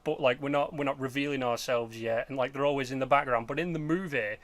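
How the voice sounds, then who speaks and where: A man chatting casually as a podcast host, rambling and halting, with loose swearing. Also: mid-range voice, no room sound. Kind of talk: casual chat about game movies.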